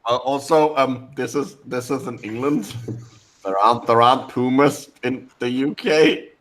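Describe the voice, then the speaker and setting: A man in casual comedic banter who stammers, laughs often and gets excitable. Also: mid-range voice, unhurried, low-quality recording. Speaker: co-host.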